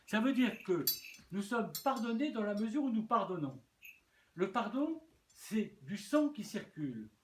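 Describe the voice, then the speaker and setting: A man's voice: high voice, sing-song, unhurried. Earnest preaching man in echoey room. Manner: earnest, repeats words, hesitant restarts. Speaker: bishop preaching. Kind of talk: sermon. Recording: echoey room with faint noise.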